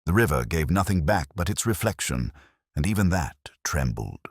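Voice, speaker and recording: A man playing a brooding older detective inspector, narrating an audio drama in a measured, reflective, literary way, solemn and wry. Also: very deep voice, very animated, breathy edge, no echo, clean studio sound, exaggerated voice.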